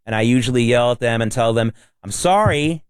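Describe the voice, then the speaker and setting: A man's voice: mid-range voice, a little fast, smooth, dry recording, studio quality. A man co-hosting a talk radio show, blunt and emphatic. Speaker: radio co-host. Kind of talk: casual talk radio chat.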